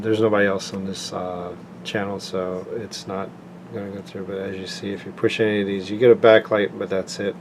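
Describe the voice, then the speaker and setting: A man giving a casual, plain-spoken product demonstration in an informal walkthrough style. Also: lowish voice. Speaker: product reviewer. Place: indoors, home tabletop recording. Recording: clear steady air-conditioning room noise.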